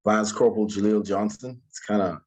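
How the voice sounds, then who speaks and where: A man, giving an informal talk about his own story in a polite, humble way, often halting and repeating words. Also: fairly low pitch, fairly even intonation, a little mellow. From Bahamas. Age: 20s. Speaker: guest speaker, alumnus.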